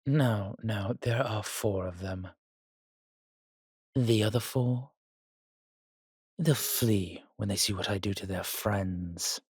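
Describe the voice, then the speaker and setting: A man's voice: on the low side, takes it slowly, long pauses, husky, no room sound, studio quality. A man playing an arrogant, menacing vampire warrior in an audio roleplay, haughty and theatrical, with suave affection for the listener. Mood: proud, boastful. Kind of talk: dramatic roleplay monologue, addressing a listener.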